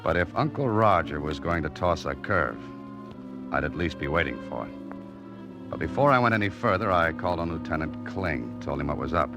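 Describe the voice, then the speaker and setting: Very deep, dry wisecracking adventurer. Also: male voice, expressive, moderate pace, over music. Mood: sardonic, resigned. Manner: dry, sardonic, clipped wisecracking. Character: wry, self-mocking adventurer and mystery writer, nobody's fool. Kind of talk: 1940s radio drama acting with hard-boiled narration.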